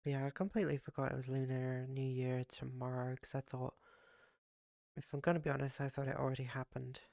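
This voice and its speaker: A streamer in casual chat with their viewers, voice raspy and gravelly from the morning. The delivery is flat and groggy, full of fillers and restarts. The sound is dry and close, with no room around it. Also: medium pitch, fairly quick, no room sound. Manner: rambling, with frequent fillers and restarts. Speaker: live streamer.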